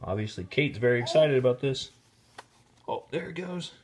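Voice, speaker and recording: A man reading a children's story aloud in an expressive, deliberate storytelling manner, with casual asides. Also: mid-range voice, slight background noise. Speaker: storybook reader, teacher. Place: indoors, filming the book held in hand.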